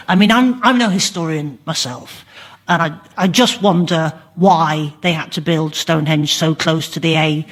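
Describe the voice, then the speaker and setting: High-voiced man riffing on a comedy panel. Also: fairly bright tone. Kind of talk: comic chat on radio panel show. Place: radio show before live audience.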